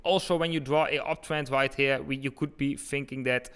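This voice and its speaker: A man's voice: medium pitch, fairly even intonation, moderate pace, a bit bright, clean tone. A man with an eastern Dutch accent, a native Dutch speaker, giving informal live chart commentary and talking directly to his viewers as a livestream host. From eastern Netherlands. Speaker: crypto trading livestream host.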